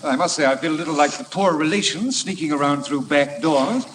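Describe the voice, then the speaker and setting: An actor playing a breezy, insolent cad with a mocking, flippant drawl in a 1950s radio drama. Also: male voice, on the high side, brisk, a bit bright, natural voice. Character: breezy, smirking cad, insolent and over-familiar. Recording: noisy vintage radio broadcast recording.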